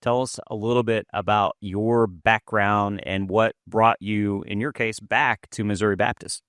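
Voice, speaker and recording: A man's voice: fairly low pitch, steady tone, a little slow, a bit bright, smooth, no room sound, clean studio sound. Rambling male podcast host. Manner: rambling, many filler words. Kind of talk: casual interview chat.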